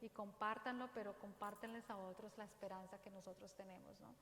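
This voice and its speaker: A woman with a Dominican accent gives an earnest, exhorting faith talk in a church, speaking slowly and deliberately. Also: medium pitch, fairly even intonation, a bit bright, a little hiss or hum. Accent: Dominican Spanish. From Dominican Republic. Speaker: parish speaker. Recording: roomy church echo, faint ambience.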